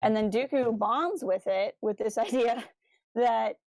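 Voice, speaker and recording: A woman's voice: fairly high pitch, unhurried, darkish tone. A woman co-hosting a podcast, talking through ideas in a casual, discursive way. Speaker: podcast co-host.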